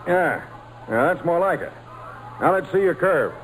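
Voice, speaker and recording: Man, gruff terse team manager, lively intonation, faint hiss, old radio play. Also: fairly high pitch, a little slow. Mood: brisk, challenging. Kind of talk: old-time radio drama acting.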